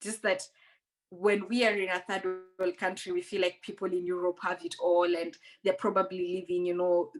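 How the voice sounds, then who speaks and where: A woman's voice: fairly low pitch, flat delivery, normal speed, soft background music, poor audio. A young woman from Rwanda, raised in Kenya, speaking earnestly as a guest in a conversational interview, often restarting her sentences.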